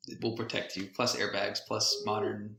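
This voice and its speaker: Male podcast co-host, crackly fry in a forward, bright voice, joking and self-deprecating, conversational. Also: lowish voice, level tone, moderate pace, reverberant. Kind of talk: casual banter and storytelling.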